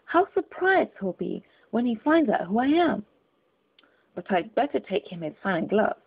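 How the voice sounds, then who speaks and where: Storybook girl, earnest and polite, breathy tone with lively pitch, phone-like audio, read by a woman. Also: normal speed, heavily acted. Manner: earnest, talking to herself. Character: curious, polite young girl.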